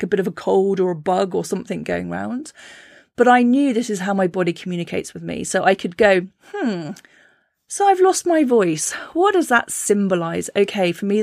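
A woman's voice: mid-range voice, dry recording, studio quality. A woman hosting a podcast, telling a personal story in a chatty way, laughing often and acting out her own thoughts. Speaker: podcast host.

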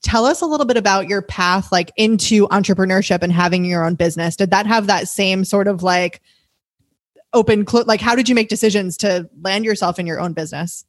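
A woman's voice: medium pitch, fairly quick, no room sound, clean studio sound. A woman hosting a podcast, chatting casually with a guest and laughing often. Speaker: podcast host. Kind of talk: casual interview chat.

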